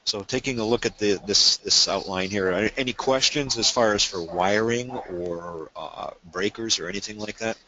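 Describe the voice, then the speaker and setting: A man's voice: fairly low pitch, normal speed, few pauses, bright, forceful tone, rough recording. A man presenting a technical webinar, explaining things casually and asking questions.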